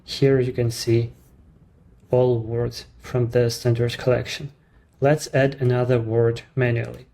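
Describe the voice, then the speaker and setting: A man with a Ukrainian accent, a native Ukrainian speaker, walking through a software demo in English in a measured, explanatory way. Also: mid-range voice, little pitch movement, vocal fry. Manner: measured, explanatory, slightly non-native phrasing. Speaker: app creator presenting his service. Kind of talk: screen-recorded software walkthrough.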